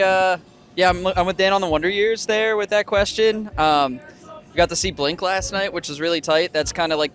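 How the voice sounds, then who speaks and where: A man's voice: a little high, fairly bright tone. A man from western Pennsylvania, a band's drummer, answering interview questions in a laid-back, enthusiastic way at an outdoor festival. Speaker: band drummer.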